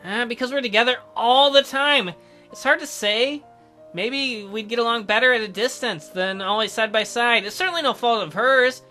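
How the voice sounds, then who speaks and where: A man voicing a shy, gentle, awkward doctor in a visual novel, with a hesitant and mild manner. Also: very high-pitched, moderate pace, soft background music. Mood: wistful. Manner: hesitant, mild, softly apologetic.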